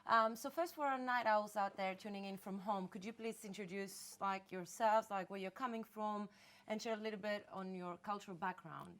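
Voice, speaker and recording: A woman's voice: mid-range voice, moderate pace, fairly bright tone. A young woman co-hosting a studio show, enthusiastic and bubbly. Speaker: host. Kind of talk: studio presenting.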